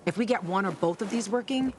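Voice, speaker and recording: A woman's voice: mid-range voice, quick pace, a little mellow, slight background noise, natural voice. An actress playing a tough, sardonic young woman guiding a newcomer, brash and teasing, in a game cutscene. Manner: brash, teasing, swears freely.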